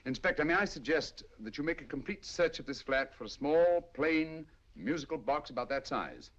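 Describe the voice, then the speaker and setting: An actor playing a commanding, middle-aged master detective with crisp, precise diction, in 1940s film style. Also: male voice, a little high, big pitch swings, moderate pace, a bit bright. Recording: dull lo-fi 1940s film sound.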